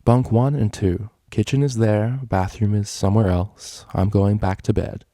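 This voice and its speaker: A man's voice: on the low side, steady tone, moderate pace, mellow, no room sound, studio quality, natural voice. A sleepy storybook mare, acted by a man, speaks wearily and bluntly. She is a grumpy but kind shopkeeper. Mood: tired. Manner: weary, blunt.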